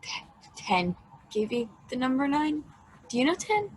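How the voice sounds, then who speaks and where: A woman's voice: high-pitched, very animated, a little slow, slight background noise, poor audio. A woman playing a naive, newly awakened space probe, earnest and hesitant, in a conversational table read. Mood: awkward.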